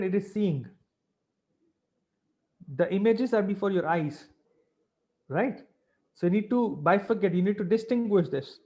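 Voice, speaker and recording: A man's voice: sits high, darkish tone. A man with an Indian accent giving an informal webinar lecture as a teacher, in a didactic way, often checking in with short tag questions. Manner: didactic, repeatedly checking with "right?" and "fine". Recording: dull lo-fi webinar audio.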